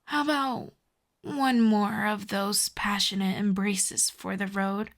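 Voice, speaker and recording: A woman performs a proud villainess from an ASMR audio drama. She speaks slowly and deliberately in a mid-range voice, haughty and teasing, with fondness underneath. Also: mid-range voice, steady tone. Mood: smug, fond. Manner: haughty, teasing, slow deliberate delivery with soft whispers. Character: proud telepathic villainess, secretly fond of a hero. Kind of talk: intimate ASMR audio roleplay.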